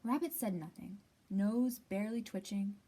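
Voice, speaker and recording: A woman reads a children's picture book aloud as a storytime host. Her delivery is expressive and deliberate, with acted-out phrases and dramatic pauses. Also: medium pitch, husky.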